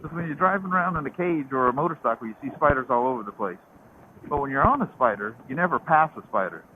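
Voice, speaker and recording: A man's voice: medium pitch, lively intonation, quick pace. Southern guy vlogging from his bike, easygoing storyteller, thin phone-like sound with light wind hiss. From American South. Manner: rambling, storytelling. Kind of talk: casual chat while riding. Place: outdoors on a motorcycle. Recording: tinny intercom with faint road noise.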